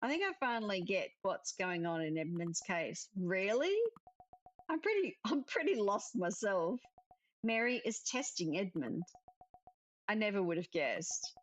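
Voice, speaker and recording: A woman's voice: mid-range voice, sing-song, unhurried, faint music behind. A woman reading visual novel dialogue aloud expressively, with casual commentary of her own. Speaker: let's-player narrator.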